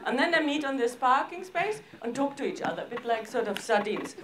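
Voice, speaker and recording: Halting woman presenter. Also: on the high side, lively intonation, a crowd in the background. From Austria. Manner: halting, informal, frequent hesitations and broken sentences. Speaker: arts organisation director presenting projects. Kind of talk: seminar presentation with slides.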